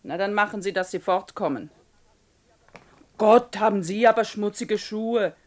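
An actress playing a brusque, haughty middle-aged shop boss in a 1960s radio play, curt and condescending. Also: female voice, mid-range voice, a little slow, close to an everyday voice. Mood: curt, dismissive.

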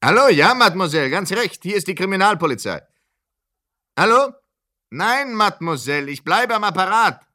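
Shouting police commissioner. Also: male voice, medium pitch, very animated, measured pace. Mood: impatient. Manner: shouting into a bad phone line. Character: excitable male police commissioner on phone. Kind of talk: film dub.

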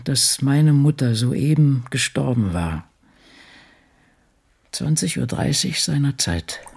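A man's voice: medium pitch, darkish tone, husky, exaggerated voice. A male actor playing an embittered, solitary seventy-year-old woman writer in a radio drama, reading out a diary in dry, clipped, muttered fragments.

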